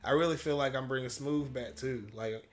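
A young man with a deep voice, a rapper talking casually as a guest in an interview, slangy and quick to laugh. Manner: laid-back slangy talk, laughs often, swears freely.

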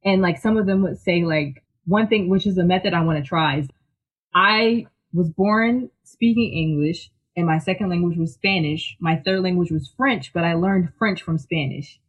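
A person from Philadelphia, a guest talking in an enthusiastic, chatty way full of "like" and quick laughs. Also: medium pitch, breathy edge, dull audio. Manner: enthusiastic, chatty, filler-heavy, laughs often. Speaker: guest, technical writer. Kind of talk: casual interview chat.

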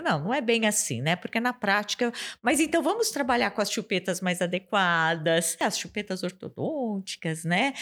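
A woman with a Brazilian Portuguese accent, a speech therapist guest on a podcast, explaining things patiently and repeating key terms. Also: mid-range voice. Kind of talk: podcast interview answers.

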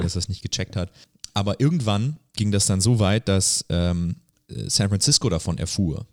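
A man's voice: sits low, no echo, clean studio sound. A man co-hosting a podcast, telling stories casually in slangy German mixed with English words and hesitations. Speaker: podcast co-host. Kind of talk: casual conversational storytelling.

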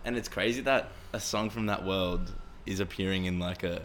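A man's voice: low-pitched, fairly even intonation, moderate pace, fairly bright tone, slight background noise. An Australian man hosting a podcast, asking questions in a blokey, joking way and swearing casually. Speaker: podcast host.

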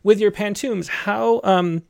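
A man hosting an interview, asking questions in a halting way with many ums. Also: sits high, vocal fry, darkish tone, no room sound, studio quality. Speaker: interview host. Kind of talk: casual interview.

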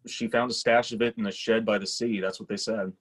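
A man's voice: fairly low pitch, fairly even intonation, a little fast, husky. A man acting a sceptical, blunt grown son in a staged screenplay reading, speaking in a dry, matter-of-fact way.

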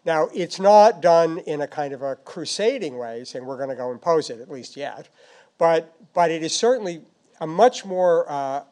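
A man answering questions as a foreign policy expert, speaking off the cuff in a halting, thoughtful, hedging way. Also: on the high side, animated, darkish tone. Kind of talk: unscripted answers at a policy discussion.

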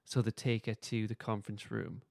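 A man's voice: lowish voice, normal speed, creaky, darkish tone, no echo, clean studio sound. A man hosting a podcast, casually recapping and discussing in an informal way. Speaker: podcast host.

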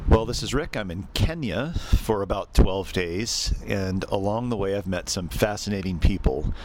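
A man hosting a podcast and interviewing guests, speaking conversationally in a measured, halting way with pauses. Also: fairly low pitch, lively intonation, darkish tone. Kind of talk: conversational spoken introduction and interview.

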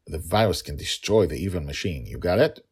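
A man's voice: low-pitched, expressive, fairly quick, breathy edge, full character voice. A man with a non-native English accent voicing a heroic pirate father who urgently coaches his little daughter in an emphatic, commanding way. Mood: urgent, instructive.

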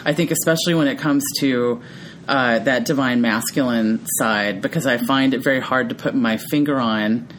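A woman with a deep voice co-hosting a podcast in reflective casual conversation, often checking in with "right?" and laughing easily. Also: normal speed, slight background noise. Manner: tags thoughts with frequent "right?"; laughs easily, animated when amused. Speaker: podcast co-host.

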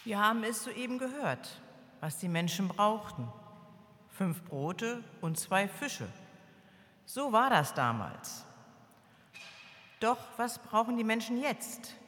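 A woman acting as pastor delivers a measured, earnest sermon introduction after a scripture reading. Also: a little high, sing-song, fairly bright tone. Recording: long hall reverb.

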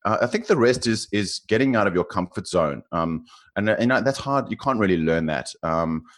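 South African man, very low voice, forties, guest in an interview, halting, lots of ums and restarts. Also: animated. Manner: thoughtful, hesitant with many fillers and restarts. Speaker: guest, finance professional. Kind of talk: podcast interview.

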